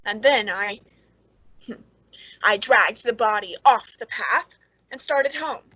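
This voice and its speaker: Woman acting a high, bright, cold murderess. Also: slow pace, husky, no room sound, highs cut off, heavily acted. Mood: gloating confession. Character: cold, gleeful murderess narrating her crime. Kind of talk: radio suspense drama narration and dialogue.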